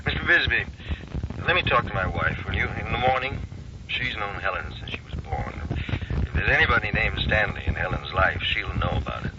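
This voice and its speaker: An actor playing a calm family doctor in an old-time radio drama, measured, concerned and reassuring. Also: male voice, fairly low pitch. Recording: very noisy, dull old radio recording.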